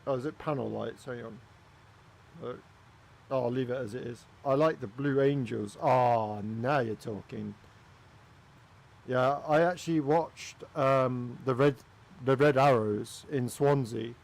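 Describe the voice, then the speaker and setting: A man's voice: mid-range voice, measured pace, vocal fry. A man from Wales with a Welsh accent, giving casual, chatty commentary while flying a flight simulator. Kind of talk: casual gaming commentary with chat.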